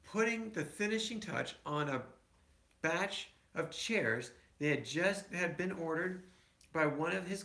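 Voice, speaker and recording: A man's voice: fairly high pitch, vocal fry, bright, forceful tone. A man reading a picture book aloud to his children, with conversational asides. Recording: echoey room.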